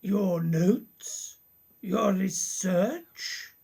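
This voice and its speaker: Man acting a husky, courteous older professor. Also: sits high, a little mellow, heavily acted. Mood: courteous, concerned. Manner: formal, measured old-world courtesy. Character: courteous older male professor, genial and probing. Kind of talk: radio play acting.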